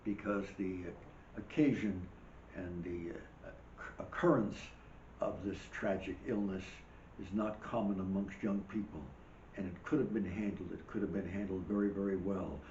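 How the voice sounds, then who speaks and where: An older man delivers a rambling host monologue with emphatic, wry asides. His voice sits low and is wearing thin. The recording is dull and roomy, with a faint hiss underneath. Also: steady tone, moderate pace, a little mellow. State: losing his voice. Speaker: show host. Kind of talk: monologue commentary. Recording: dull echoey room recording.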